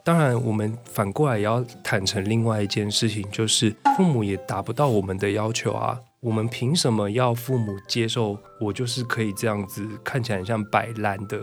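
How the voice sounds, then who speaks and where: Lower-voiced Taiwanese man, reflective and frank. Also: a little fast, hardly any pauses, faint music behind. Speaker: interview guest, writer. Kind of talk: reflective conversational interview.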